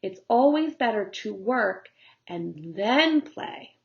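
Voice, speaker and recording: Slow-paced woman reading to young children. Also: mid-range voice, expressive, creaky, dull audio. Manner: simple, deliberate phrasing for children. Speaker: teacher reading a story. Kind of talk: storytelling narration for young children.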